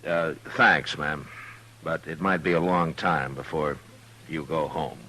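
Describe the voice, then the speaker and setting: An actor playing a firm, weary American frontier marshal, terse and authoritative, in old-time radio western style. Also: male voice, extremely low pitch, steady tone, a little slow, bright, forceful tone, heavily acted. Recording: noisy, humming vintage radio recording.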